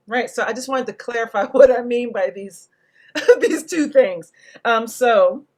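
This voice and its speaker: A woman hosting a panel discussion, speaking in a reflective, deliberate way and carefully spelling out what she means. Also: mid-range voice. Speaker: panel host and moderator.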